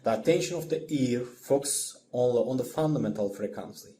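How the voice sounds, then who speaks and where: A man giving a scripted music lesson as a lecturer, in a measured, didactic manner. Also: mid-range voice, warm tone. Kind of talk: scripted instructional narration.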